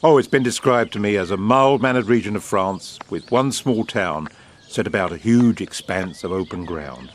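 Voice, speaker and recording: A man acts a polished British government propagandist in a period audio drama. His voice is low, his phrasing grand, measured and self-assured. Birdsong and background noise sit behind him. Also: natural voice. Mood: hopeful, measured. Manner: urbane, eloquent, measured, grandiloquent. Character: suave, confident British wartime propaganda official.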